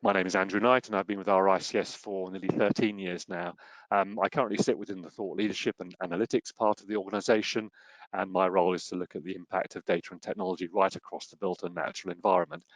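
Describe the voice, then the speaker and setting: Fast-talking low-voiced host. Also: male voice, fairly even intonation, no room sound, poor audio. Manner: polished, formal introductions. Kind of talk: interview hosting.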